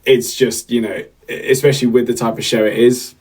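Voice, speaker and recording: Male podcast host, young, loose and rambling, natural speaking pitch. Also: little pitch movement, normal speed, breathy edge. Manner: rambling, chatty, many fillers and restarts. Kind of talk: casual livestream chat, reading the chat.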